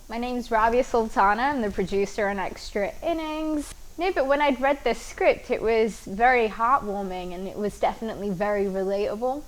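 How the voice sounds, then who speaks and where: A woman's voice: fairly high pitch, moderate pace, hardly any pauses, poor audio. A woman film producer speaking earnestly and reflectively in an interview. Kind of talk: casual interview talk.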